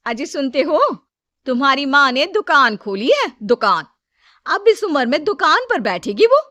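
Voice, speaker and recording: Woman acting scheming daughter-in-law, very high pitch, wheedling, brash, stingy, moral story drama, dry sound. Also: very animated, measured pace, pushed and bright, no echo, full character voice. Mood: indignant.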